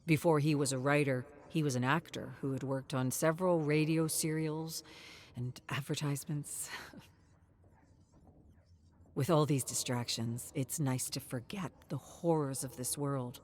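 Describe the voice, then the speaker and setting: A man reading a scripted journal as a dramatic narrator, in a measured, literary storytelling manner. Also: on the high side, fairly even intonation, a little hiss or hum.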